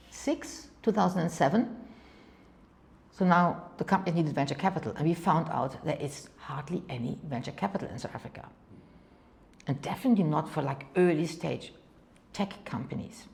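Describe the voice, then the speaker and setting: German-accented woman with a lowish voice. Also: vocal fry. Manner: talks in long anecdotes, often says "kind of go and", repeats words. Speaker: venture capital partner, guest. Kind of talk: interview storytelling.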